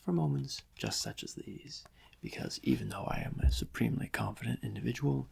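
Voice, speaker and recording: A man playing a gloating, theatrical criminal mastermind in a soft-spoken ASMR roleplay, breathy and often near whispering, with pompous, mock-polite, drawn-out musing. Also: mid-range voice, a little hiss or hum. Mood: wary, annoyed. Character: gloating, theatrical criminal mastermind villain, supremely confident yet cautious.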